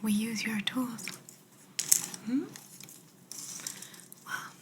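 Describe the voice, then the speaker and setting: A woman playing a calm, teasing alien examiner in an ASMR roleplay, in a soft breathy whisper, soothing and politely clinical. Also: fairly high pitch, background noise. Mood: reassuring, attentive. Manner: slow, soothing, politely clinical with playful condescension.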